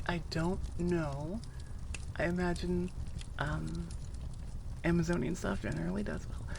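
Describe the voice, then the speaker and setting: A woman's voice: fairly low pitch, gravelly, noisy background. A woman who makes audio roleplays, chatting casually and unscripted to listeners in a rambling, hesitant, self-aware way. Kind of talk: casual unscripted outro chat.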